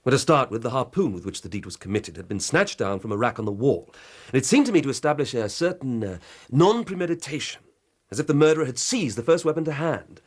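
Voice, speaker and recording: An actor playing an earnest, proud police detective in a radio drama, formal and methodical, with a dramatic way of telling things. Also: male voice, medium pitch, very animated, fast talker. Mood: defensive, eager. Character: earnest, proud male police detective reporting a grisly murder.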